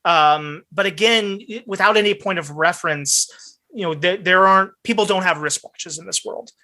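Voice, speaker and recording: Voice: mid-range voice, normal speed, pushed and bright. A game master narrating a tabletop game in a conversational, explanatory way.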